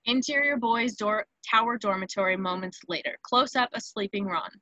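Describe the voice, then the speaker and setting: A woman's voice: mid-range voice, moderate pace, sharp tone, no echo, low-quality recording. A woman narrating a screenplay, reading out scene headings and stage directions.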